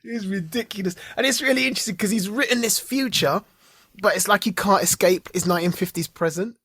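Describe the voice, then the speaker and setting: A man's voice: high-pitched, brisk, a bit bright, breathy edge. A man co-hosting a podcast, chatting casually about TV shows, laughing often and trailing off mid-sentence. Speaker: podcast co-host. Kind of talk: casual chat reviewing TV shows.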